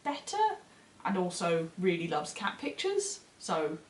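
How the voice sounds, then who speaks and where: A woman's voice: on the low side, sing-song, unhurried. Echoey, effusive woman chatting about books. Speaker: book reviewer co-hosting short fiction recommendations. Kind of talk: casual enthusiastic book chat.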